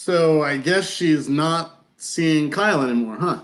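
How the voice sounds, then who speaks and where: Man acting incredulous, digressing guy, slightly high-pitched. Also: unhurried, lightly acted. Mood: baffled. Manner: disbelieving, wistful, digressive. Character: incredulous, fixated man hearing gossip about an old neighbor. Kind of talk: live play reading.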